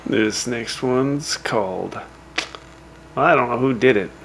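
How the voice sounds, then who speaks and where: Gravelly, folksy male radio DJ. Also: medium pitch, slow, fairly bright tone, faint music behind, poor audio. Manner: folksy, conversational, wry teasing asides. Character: folksy, wry post-apocalyptic wasteland radio DJ. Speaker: radio host. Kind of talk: in-character casual radio DJ broadcast.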